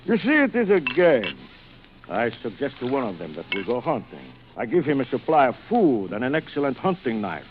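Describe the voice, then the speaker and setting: Suave, cruel general, swooping old-radio delivery. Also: male voice, medium pitch, wildly swooping pitch. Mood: coolly menacing. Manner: urbane, theatrical, savoring pauses. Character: suave, cruel aristocratic older general who hunts men. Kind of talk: 1940s radio thriller acting. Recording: muffled, noisy vintage radio recording.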